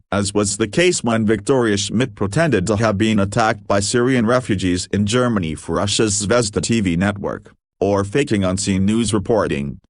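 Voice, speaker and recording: A man narrating a scripted news analysis in a steady, formal read. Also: on the low side, no room sound, studio quality.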